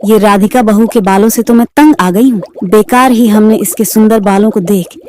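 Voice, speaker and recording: A woman's voice: medium pitch, normal speed, darkish tone, close to an everyday voice. A woman playing a nagging middle-aged Indian mother-in-law in a cartoon, with a scolding, commanding manner. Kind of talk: Hindi moral-story cartoon acting.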